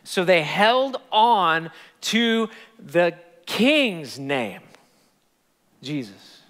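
Animated male pastor preaching in reverb. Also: sits high, extremely slow. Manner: animated, gesturing preacher with rising emphatic peaks. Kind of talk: sermon. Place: large church stage. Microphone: headset mic. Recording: long hall reverb.

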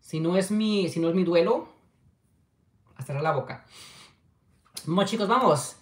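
A man's voice: high-pitched, lively intonation, a little slow. A man, chatting affectionately on a livestream and reading viewer comments. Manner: affectionate, chatty, keeps urging likes. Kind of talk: casual livestream chat. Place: small home room with window. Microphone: webcam at desk distance. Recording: echoey room.

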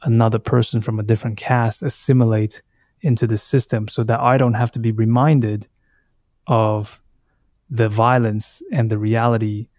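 Thoughtful, halting Taiwanese-speaking man in his thirties. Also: on the low side, little pitch movement, darkish tone, dry recording, clean studio sound. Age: 30s. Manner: halting, thoughtful, circles back to rephrase. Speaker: podcast guest, artist. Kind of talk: reflective interview conversation.

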